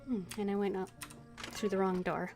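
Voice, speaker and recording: A woman's voice: mid-range voice, little pitch movement, moderate pace, a little mellow. Woman gamer thinking aloud over music. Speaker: streamer playing a fantasy role-playing game. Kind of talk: gaming commentary with in-character reading aloud.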